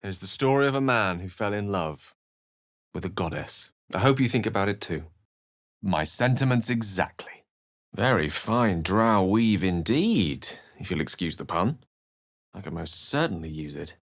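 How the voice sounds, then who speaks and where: A learned male wizard from a fantasy video game, speaks in a fairly low voice with very animated intonation. His phrasing is literary and theatrical, with a wry edge. The recording is dry and free of room sound. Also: normal speed, dry recording. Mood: wry, thoughtful. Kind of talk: fantasy game dialogue and narration.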